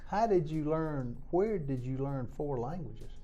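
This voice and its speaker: A man's voice: on the high side, animated, a little slow, mellow, highs cut off. A man hosting an interview, asking short, probing follow-up questions.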